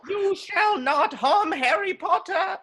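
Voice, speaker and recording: Woman as small servant creature, high voice, slow solemn delivery, devoted, protective, drama table read. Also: animated, a bit bright, slight background noise, full character voice. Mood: defiant. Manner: speaks of self in third person, slow and solemn.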